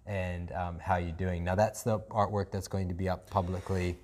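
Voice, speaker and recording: Casting: New Zealand male host, low voice, eager, meandering chat. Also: little pitch movement, moderate pace, a little mellow. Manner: enthusiastic, rambling with many fillers. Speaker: podcast host. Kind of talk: casual chat.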